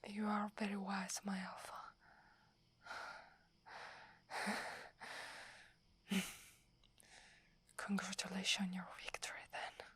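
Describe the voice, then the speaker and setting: A woman with an Italian accent voicing a loyal, deferential young werewolf warrior in a breathy, hesitant ASMR whisper roleplay. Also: medium pitch, monotone, normal speed, bright, forceful tone, a little hiss or hum, natural voice. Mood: admiring. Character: loyal, eager young female werewolf warrior devoted to her alpha.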